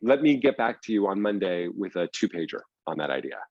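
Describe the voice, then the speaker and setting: Low-voiced man explaining in an interview, muffled. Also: sing-song, a little fast, dry recording. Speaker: guest, screenwriter and audio drama producer. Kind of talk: conversational interview answers, explanatory anecdotes.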